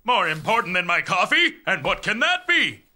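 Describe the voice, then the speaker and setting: A man's voice: high-pitched, very animated, moderate pace, pushed and bright, slight background noise, exaggerated voice. A man voicing a pompous, grumpy, bossy middle-aged mayor in a cartoon, blustering and imperious. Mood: demanding. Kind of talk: animated cartoon voice acting, English dub.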